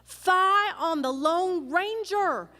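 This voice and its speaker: High, drawling Texan outlaw widow. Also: female voice, drawn-out words, sharp tone, exaggerated voice. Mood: scornful. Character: defiant Southern outlaw widow, bitter and proud. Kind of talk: live old-time western radio play acting. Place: large performing arts hall, on stage. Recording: echoey hall, live stage performance.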